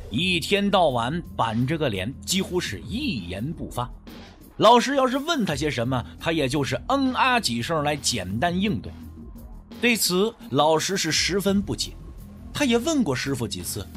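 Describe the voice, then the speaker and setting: A man's voice: fairly high pitch, sing-song, moderate pace, fairly bright tone, soft background music. A man narrating a scripted suspense story as an audiobook, with a storyteller's dramatic phrasing.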